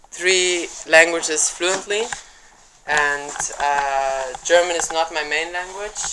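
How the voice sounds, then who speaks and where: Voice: medium pitch, fairly bright tone. A filmmaker answering audience questions after a screening, talking fluently but wordily with frequent fillers. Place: cinema screening room. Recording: echoey room with slight noise.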